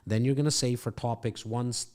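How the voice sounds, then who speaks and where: A man's voice: medium pitch, fairly even intonation, brisk, darkish tone. Brash, profane man coaching in studio. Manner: brash, profane, pep-talk delivery. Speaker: podcast host and real estate coach. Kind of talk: casual mentoring chat. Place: home studio.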